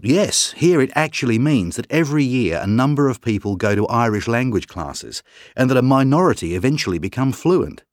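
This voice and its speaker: Male actor as calm articulate writer guest, expressive delivery, dry studio read. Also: medium pitch, no echo, lightly acted. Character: man, calm thoughtful writer and broadcaster. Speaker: interview guest. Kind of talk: scripted exam interview.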